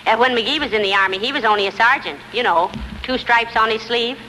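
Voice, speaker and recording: A woman playing a sensible, folksy wife who chats and gently scolds in a 1940s radio comedy. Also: a little high, a little fast. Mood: chatty. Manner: homely, chatty, gently scolding. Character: sensible, folksy wife, good-naturedly exasperated. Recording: faintly noisy 1940s radio recording.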